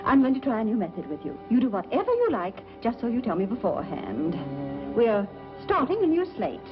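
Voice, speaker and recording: An actress playing a brisk, exasperated but caring mother in 1940s film style. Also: a little high, huge pitch swings. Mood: firm, exasperated. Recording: dull old film soundtrack with music.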